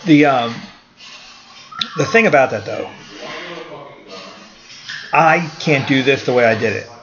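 A man's voice: medium pitch, slow, a bit bright, highs cut off. A man in his forties talking in a casual podcast conversation, blunt and opinionated, swearing now and then. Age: 40s. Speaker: karate school owner, guest.

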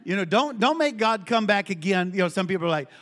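Animated middle-aged male preacher, somewhat high. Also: fast talker. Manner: animated preaching with call-and-response. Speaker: pastor. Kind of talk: sermon with personal story.

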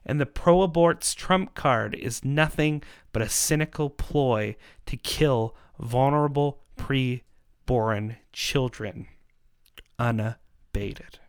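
A man giving a persuasive monologue in an emphatic, rhetorical manner, with dramatic pauses and repetition. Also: mid-range voice, clear, resonant tone, dry recording, studio quality. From Canada. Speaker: podcast host and pro-life activist.

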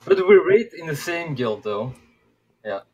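A man's voice: fairly high pitch, big pitch swings, unhurried, breathy edge, dull audio. A man from Belgium, a native Dutch speaker with a Belgian Dutch accent, chatting hesitantly as an interview guest. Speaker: show guest. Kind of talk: casual interview chat.

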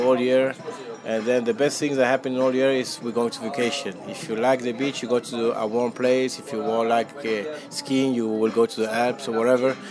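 Halting man from Chile, music behind. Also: mid-range voice. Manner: halting, many fillers and restarts. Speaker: hostel owner. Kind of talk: casual interview answers.

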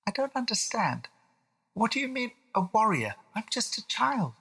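A storybook narrator voicing a timid, worried young boy with a hushed, breathy, pleading delivery. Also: medium pitch, sing-song, moderate pace, pitched above the performer's own voice, full character voice. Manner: worried, wide-eyed, pleading. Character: anxious, timid young boy afraid of lions.

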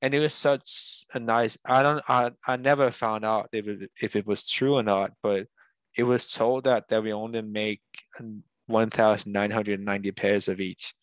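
A man speaks in a fairly low voice with a very flat, even intonation. He chats casually and reflectively, rambling a little as he reminisces. The sound is dry and close. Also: moderate pace, clear, resonant tone, dry recording. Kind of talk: casual conversation.

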